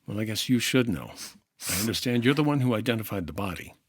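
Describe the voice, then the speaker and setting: A man's voice: lowish voice, expressive, quick pace, husky, no room sound, clean studio sound. A man playing a brusque but decent insurance investigator in a 1950s radio detective drama, asking terse, probing questions. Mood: interrogating.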